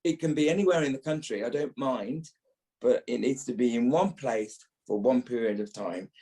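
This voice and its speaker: A man's voice: medium pitch, normal speed, mellow. A middle-aged or older British man, an actor being interviewed, talking reflectively and repeating phrases as he thinks.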